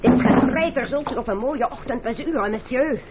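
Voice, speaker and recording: A man's voice: extremely high pitch, moderate pace. A man playing a haughty, impatient chevalier in a radio play, curt, urgent and threatening. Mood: exasperated, threatening. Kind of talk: old radio drama acting. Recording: tinny, band-limited old radio recording with hiss.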